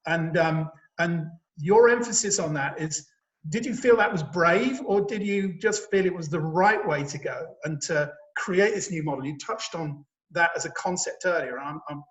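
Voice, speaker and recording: A man's voice: fairly high pitch, normal speed. A middle-aged man with a British accent, interviewing conversationally and talking his way round at length to his questions. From United Kingdom. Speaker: interviewer, sales director. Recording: echoey room on a call.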